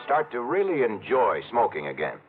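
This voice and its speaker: A male announcer reading a polished sales pitch in a vintage radio commercial. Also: wildly swooping pitch, normal speed, phone-quality sound. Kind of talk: 1950s-60s radio cigarette ad read. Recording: thin vintage radio recording, loud music.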